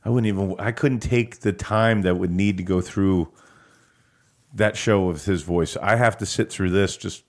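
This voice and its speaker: A man's voice: deep voice, moderate pace. A man co-hosting a local politics podcast, ranting in a profane, exasperated way. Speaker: podcast co-host. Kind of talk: angry local politics commentary.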